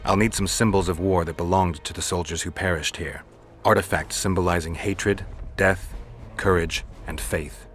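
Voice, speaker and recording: Deep gravelly man, world-weary monster hunter, terse, dry sarcasm, fantasy game dialogue. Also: steady tone, normal speed, fairly bright tone, soft background music. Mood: businesslike. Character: gruff, sardonic monster-hunting warrior for hire. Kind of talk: video game dialogue acting.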